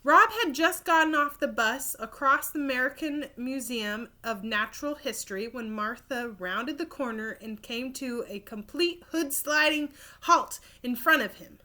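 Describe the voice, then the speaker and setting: A woman reading a children's story aloud expressively and deliberately, like a teacher addressing young listeners. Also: high-pitched, lively intonation, pushed and bright.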